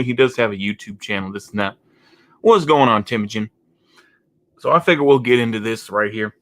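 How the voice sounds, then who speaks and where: A man's voice: mid-range voice, a bit bright. A man hosting a reaction video, talking in a rambling, mocking way with many restarts and a Texan accent. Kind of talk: casual commentary reacting to a video.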